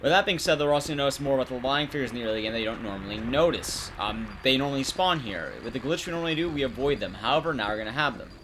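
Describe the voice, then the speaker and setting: A man's voice: medium pitch, animated, very fast, hardly any pauses, background noise. A man giving casual live gameplay commentary in a conversational way, explaining things to his audience. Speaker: streamer and commentator.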